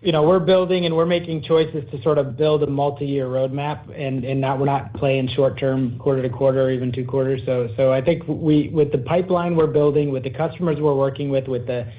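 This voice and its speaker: A man's voice: medium pitch, steady tone, brisk, few pauses. A man speaking as a company executive on an earnings call, in businesslike corporate phrasing. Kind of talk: earnings call presentation and answers. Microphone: conference call line. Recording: narrow phone-like conference line.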